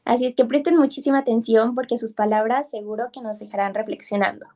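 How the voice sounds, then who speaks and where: A woman's voice: on the high side, steady tone, fast, mellow. A woman hosting, thanking and introducing speakers in a friendly presenter style. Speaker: event host. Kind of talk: formal-friendly guest introduction.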